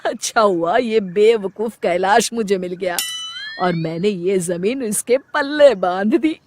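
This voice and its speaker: A woman plays a sly village swindler, a man gloating in a slow, smug drawl. It is a story character, with clear noise from effects behind the voice. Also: mid-range voice, animated. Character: sly, gloating male village land seller.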